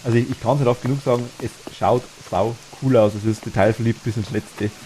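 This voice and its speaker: A man's voice: mid-range voice, steady tone, a little fast, dark tone, slight background noise, low-quality recording. A man with a Bavarian accent chatting casually and enthusiastically while streaming a game, laughing easily.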